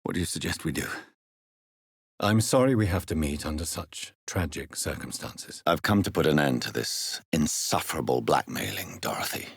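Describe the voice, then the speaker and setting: Brooding english vampire doctor for a game, really low husky voice, formal and intense. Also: male voice, lively intonation, no echo, studio quality, full character voice. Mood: courteous, earnest. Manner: formal, measured, earnest, intense. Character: grave, courteous gentleman doctor turned vampire, brooding and principled. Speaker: video game lead character voice. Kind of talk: dramatic video game acting.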